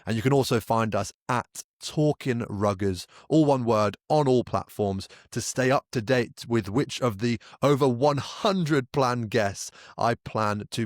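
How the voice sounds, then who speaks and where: A man's voice: mid-range voice, no room sound. Upbeat male podcast host, dry close sound. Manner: upbeat, promotional, addresses listeners directly. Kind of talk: scripted podcast intro then casual interview.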